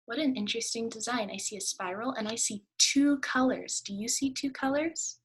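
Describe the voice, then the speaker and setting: A woman's voice: fairly high pitch, normal speed, husky. A woman teacher reading aloud to children, explaining as she goes and prompting listeners with questions.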